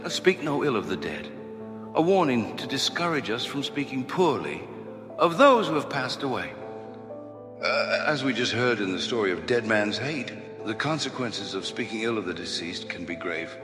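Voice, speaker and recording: An actor playing a ghostly male storyteller, solemn and folksy, telling spooky tales in measured theatrical style for an audio drama. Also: fairly low pitch, lightly acted. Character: male ghostly storyteller guest in a haunted estate. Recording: reverberant hall with music behind.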